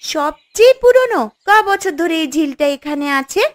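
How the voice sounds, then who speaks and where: A woman's voice: extremely high pitch, expressive, moderate pace. A woman voicing an honest, wide-eyed little village granddaughter in a fairy-tale cartoon, exclaiming and questioning earnestly. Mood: amazed.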